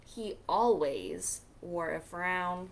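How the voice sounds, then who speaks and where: A woman hosting a children's storytime, reading a picture book aloud in a deliberate, expressive way. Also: lowish voice, a little hiss or hum. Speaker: children's librarian storytime host.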